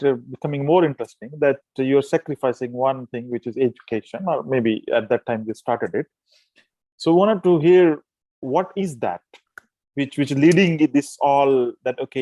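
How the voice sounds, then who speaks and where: Podcast host, man, mid-pitched dry voice; halting, repeats words while building questions. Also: a little mellow, no echo, rough recording. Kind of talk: hosting an interview.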